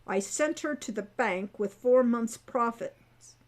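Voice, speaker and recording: A woman's voice: fairly high pitch, slow pace. A woman voicing a stern, angry centaur father in a storybook, with formal, scolding speech. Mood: bitter.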